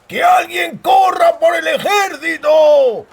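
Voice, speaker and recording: A man voicing a panicked miner shouting for help with frantic, strained yells in a fantasy audiobook. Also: very high voice, slow, vocal fry, pitched above the performer's own voice, full character voice. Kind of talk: audiobook character voice.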